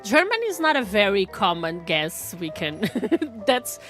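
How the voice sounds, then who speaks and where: A woman's voice: medium pitch, big pitch swings, unhurried, fairly bright tone, faint music behind. A woman from Portugal with a Portuguese accent, chatting casually with viewers on a live stream, laughing often and restarting her words.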